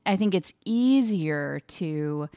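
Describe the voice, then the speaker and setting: A woman co-hosts a podcast in a low-pitched voice. She talks in a casual, conversational way. The recording is dry, with very little room around her voice. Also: animated, slow pace, mellow, no room sound. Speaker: podcast co-host.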